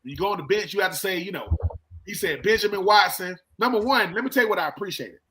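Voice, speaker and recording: A man's voice: sits high, expressive, fast talker, bright, forceful tone. A middle-aged man, a former football player, talking sports in a casual, colloquial way, repeating himself and acting out quoted exchanges. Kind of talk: casual, animated sports storytelling.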